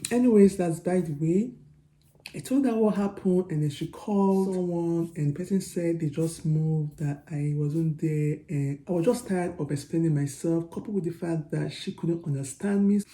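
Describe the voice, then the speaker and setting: Chatty Nigerian woman, low mellow voice. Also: moderate pace, reverberant. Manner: chatty, animated storytelling. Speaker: vlogger. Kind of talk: storytelling to camera.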